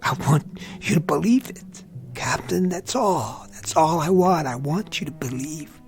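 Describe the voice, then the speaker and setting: An actor playing a tormented, bitter young army lieutenant in an intense radio drama style. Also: male voice, a little high, expressive, unhurried, creaky. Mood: pleading, intense. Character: tormented young army lieutenant who sees death on faces. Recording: radio drama with music underneath.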